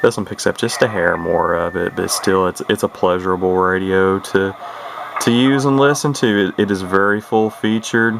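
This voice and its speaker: Male hobbyist presenter, deep voice, unscripted and halting delivery with repeated words, recorded with clear hissy radio noise behind him. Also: fairly even intonation. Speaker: radio collector presenting his own equipment. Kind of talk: rambling casual product showcase. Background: radio speaker playing static.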